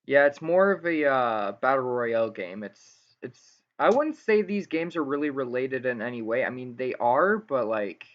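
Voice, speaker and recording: A man's voice: medium pitch, expressive, normal speed, few pauses. A man hosting a casual gaming interview, speaking in a hesitant, rambling way. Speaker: interviewer, gaming channel host. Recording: dull lo-fi voice chat.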